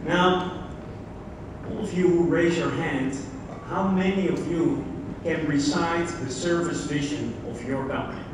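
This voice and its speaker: A man's voice: a little high, measured pace. Dutch guy doing a conference talk, chatty, asks the crowd stuff, mic kinda far off. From Netherlands. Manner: conversational, addresses the audience directly with questions. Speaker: conference speaker. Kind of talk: conference keynote presentation. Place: large conference hall. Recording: very echoey hall, distant mic, background noise.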